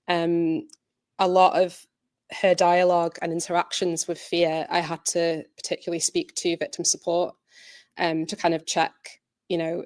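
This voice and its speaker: Woman chatting through a creative project, lowish voice, pretty flat, hesitant, dry close sound. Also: no room sound. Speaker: director and writer of an audio drama, presenter. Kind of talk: informal behind-the-scenes presentation.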